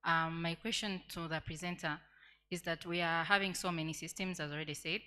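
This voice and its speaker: Female delegate, Tanzanian accent, forceful tone, lower register, formal question from the audience. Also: fairly even intonation, brisk, smooth. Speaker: audience member asking a question. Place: conference hall.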